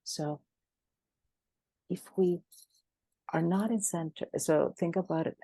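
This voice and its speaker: A woman speaking as the expert guest in an interview, animated and emphatic, often restarting her sentences. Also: fairly low pitch, takes it slowly, dry recording. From Greece. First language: Greek. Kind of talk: conversational interview.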